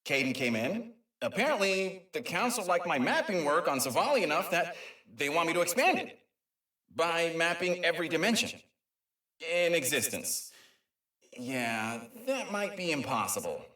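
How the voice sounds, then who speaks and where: Game role: excitable, nerdy, kind creature inventor, stammering through informal logs; lightly high male voice, echoey and a bit off-mic. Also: moderate pace, recorded from a distance. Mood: wry, determined. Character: eager, nerdy, kind male Lombax inventor and dimension mapper. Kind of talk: informal recorded audio log in a video game.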